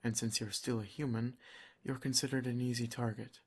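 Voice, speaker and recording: A man playing a doting, protective vampire lord in an ASMR roleplay, soft-spoken, formal and reassuring. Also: medium pitch, flat delivery, fairly quick, husky. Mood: grave, concerned.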